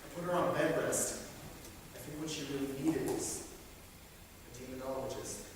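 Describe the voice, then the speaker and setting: A man's voice: mid-range voice, normal speed, natural voice. A man playing a skeptical, weary husband who brushes off his wife's fears, in a staged play reading. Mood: grave. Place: theatre hall at a staged reading. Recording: very echoey hall, distant mic, clear noise.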